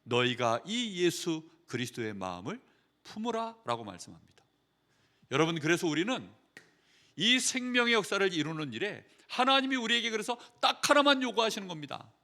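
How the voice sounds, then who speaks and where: A middle-aged man preaching a sermon in a conversational way, asking the congregation questions and telling anecdotes, moving from loud emphasis to quiet trailing phrases. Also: high-pitched, sing-song, normal speed, vocal fry. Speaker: pastor.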